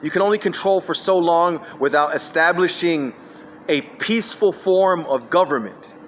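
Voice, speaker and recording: A man's voice: a little high, moderate pace, a little hiss or hum. A man ranting alone into his phone, jumping from one topic to the next with emphatic, scattered delivery. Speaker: vlogger. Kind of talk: rambling solo rant.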